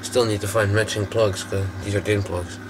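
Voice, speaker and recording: A man giving a casual, unscripted hands-on demonstration in a low, creaky voice, recorded on a handheld camera microphone. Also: fairly even intonation, normal speed, background noise. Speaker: hobbyist video maker. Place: small indoor workroom.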